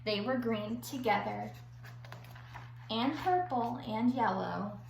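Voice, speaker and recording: A woman schoolteacher reading aloud to young children and explaining an activity in simple, clear phrases. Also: fairly high pitch, takes it slowly, a little mellow. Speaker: elementary school teacher. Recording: echoey room with faint background noise.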